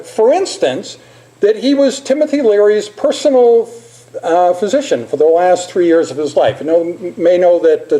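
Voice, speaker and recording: An older man, a physician, presenting an informal talk in a halting, self-deprecating manner. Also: high-pitched, mellow, rough recording.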